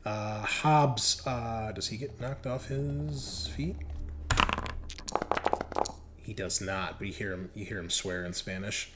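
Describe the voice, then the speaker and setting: A man's voice: lowish voice, slow pace, pauses often, very noisy. A man narrating a tabletop horror game as game master in a casual, conversational way.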